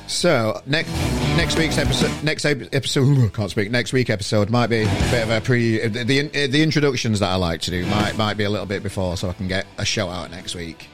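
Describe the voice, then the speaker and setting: A man's voice: fairly low pitch, brisk, over music. A man hosting a podcast, chatting casually and rambling with lots of restarts. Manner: rambling, with many restarts and laughs. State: irritable, voice going on him. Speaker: podcast host. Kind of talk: casual chat.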